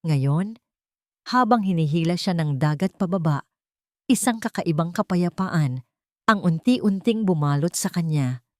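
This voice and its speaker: Woman narrating, low dry voice. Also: animated, unhurried, no echo. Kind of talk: dramatic scripted story narration.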